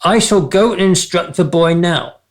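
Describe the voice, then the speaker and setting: A man's voice: high-pitched, moderate pace, lightly acted. A man playing a vain, dim, easily amused king with an imperious manner in a comic radio play. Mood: pompous.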